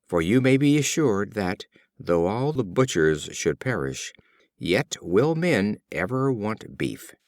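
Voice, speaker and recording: A man's voice: lowish voice, very animated, dry recording, studio quality. A man voicing an exceedingly old ox who reasons solemnly and persuasively in a storybook fable. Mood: grave, cautioning. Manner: measured, persuasive speech-making.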